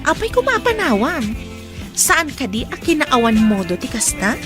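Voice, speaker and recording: A woman playing a chatty, excitable young woman at an event in a radio drama. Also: high voice, moderate pace, over music.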